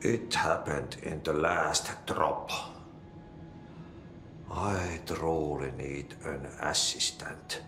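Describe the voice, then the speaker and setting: An actor playing an eccentric older Finnish janitor in a video game, with folksy, cryptic talk and a Finnish accent. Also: male voice, very deep voice, fairly even intonation, extremely slow, roomy sound, background noise, exaggerated voice. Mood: dryly amused. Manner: folksy idioms, muttered Finnish curses. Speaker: janitor character in a supernatural action game. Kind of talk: video game cutscene acting.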